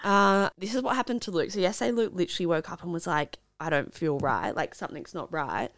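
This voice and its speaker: A woman's voice: on the low side, brisk, fairly bright tone, no room sound, clean studio sound. A woman in her thirties with an Australian accent, co-hosting a podcast in a casual, friendly chat. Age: 30s. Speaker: podcast co-host.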